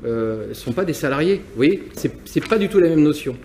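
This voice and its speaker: A man's voice: a little high, lively intonation, fairly quick, warm tone, a little hiss or hum. Hesitant male business owner. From France, Nantes. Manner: hesitant, many restarts and repeated words. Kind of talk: interview answers, personal reflection.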